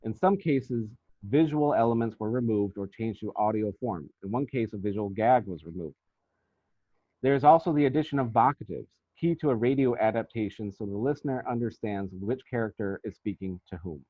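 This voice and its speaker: A man presenting academic research as a scripted lecture, reading formally and deliberately. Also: on the low side, dry recording. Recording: thin, phone-like band-limited audio.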